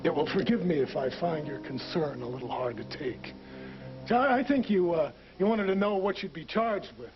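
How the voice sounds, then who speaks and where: A man's voice: on the high side, lively intonation, moderate pace, natural voice. An actor playing a cold, sarcastic man who is bitter after being wounded, in a soap opera. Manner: sarcastic, curt. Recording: dull lo-fi TV recording with music.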